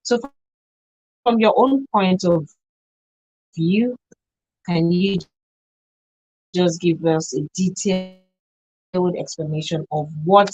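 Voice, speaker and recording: A woman's voice: on the low side, takes it slowly, long pauses, a little mellow, no room sound, rough recording. A woman with a Nigerian accent hosting a live talk radio show from a studio.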